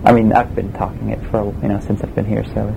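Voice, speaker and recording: A man with a Swiss German accent, a game production designer answering interview questions in a relaxed, hesitant way with many fillers. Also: fairly low pitch, quick pace, creaky, dull audio, background noise. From Switzerland.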